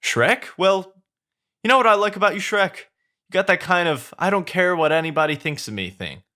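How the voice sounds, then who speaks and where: A man's voice: high voice, animated, moderate pace, pushed and bright, pitched above the performer's own voice. A man voicing a chatty, pushy talking male donkey who rambles on eagerly and begs. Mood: gushing.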